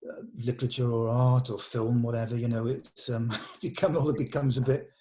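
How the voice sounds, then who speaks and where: A man's voice: mid-range voice, little pitch movement, fairly quick, warm tone. A man leading a script read, narrating stage directions in an informal, matter-of-fact, slightly hesitant way. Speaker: table read leader and narrator.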